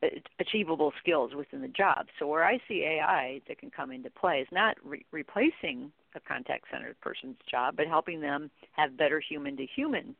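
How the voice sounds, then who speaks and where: A middle-aged woman with a gravelly, frying edge to her voice talks as an expert guest in an interview. She explains conversationally and informally. The sound is narrow and phone-like, with a dry, close character. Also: deep voice, sing-song, fairly quick, no echo. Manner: explanatory, informal, peppered with "you know". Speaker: guest, contact center training expert. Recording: narrow, tinny phone-like line.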